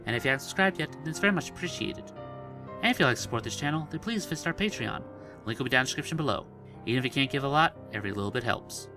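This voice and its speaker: A man's voice: mid-range voice, animated, very fast. A man hosting a gaming channel, giving casual, muttered and self-deprecating commentary. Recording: loud music behind the voice.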